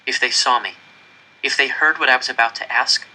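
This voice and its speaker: A man's voice: medium pitch, fairly quick, sharp tone, poor audio. A man reading a first-person story aloud in an earnest, suspenseful narrating manner. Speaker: audiobook-style reader.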